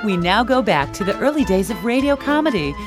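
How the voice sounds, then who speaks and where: A woman's voice: medium pitch, sing-song, brisk. A woman reading a scripted network introduction as an announcer. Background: loud music.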